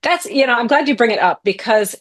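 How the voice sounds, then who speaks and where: A woman's voice: on the high side, animated, fairly bright tone. A woman with an American Midwestern accent, a guest executive coach speaking in a thoughtful, articulate conversational way on a podcast interview. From Columbus, Ohio, USA. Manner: articulate, thoughtful, quick to laugh.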